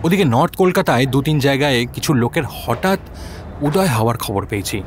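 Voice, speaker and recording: Man as a animated dutiful junior policeman. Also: medium pitch, a little fast, slight background noise, close to an everyday voice. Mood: dutiful reporting. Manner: reporting point by point. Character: dutiful junior male police officer, deferential and methodical.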